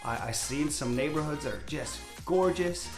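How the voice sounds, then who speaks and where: A man's voice: a little high, moderate pace, echoey room, music in the background. A man in his fifties from Hawaii, introducing himself to camera as a real estate agent in a candid, upbeat way. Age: 50s. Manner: candid, talkative, upbeat salesman. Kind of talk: direct-to-camera self-introduction.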